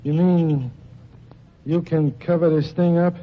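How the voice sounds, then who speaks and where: A man's voice: on the high side, fairly even intonation, slow. A man playing a blunt, slangy nightclub gangster who talks ungrammatically, in 1940s radio acting on a dull lo-fi recording with faint hiss. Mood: defensive. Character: tough, defensive male nightclub gangster.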